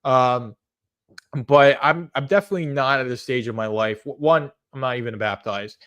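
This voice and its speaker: A man's voice: medium pitch, normal speed. Rambling young man chatting on stream. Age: 20s. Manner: rambling, hesitant, many fillers and restarts; earnest, softly amused at chat jokes. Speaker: livestream host. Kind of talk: casual live-stream chat reading chat comments.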